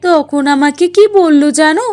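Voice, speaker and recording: A woman's voice: high voice, normal speed, darkish tone, soft background music, natural voice. A woman voicing a spiteful, whiny young sister-in-law in a cartoon, scolding and demanding. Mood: indignant, complaining. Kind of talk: Bengali cartoon dialogue.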